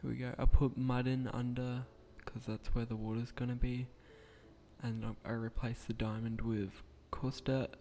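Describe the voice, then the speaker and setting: A young man talks quietly and a bit hesitantly, restarting his sentences as he narrates a game build. His voice is croaky and gravelly, mellow in tone and fairly flat in pitch. A faint hiss sits under the recording. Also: moderate pace. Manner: hesitant, rambling, with frequent restarts; speaking quietly at night. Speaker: gaming channel creator.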